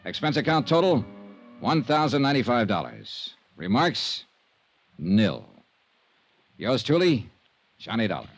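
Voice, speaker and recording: An American actor playing a hard-boiled, sardonic insurance investigator in 1950s radio drama, with clipped lines and dramatic pauses. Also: male voice, mid-range voice, sing-song. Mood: brisk, wry narration. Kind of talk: 1950s radio detective drama acting and narration. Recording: vintage radio recording with music.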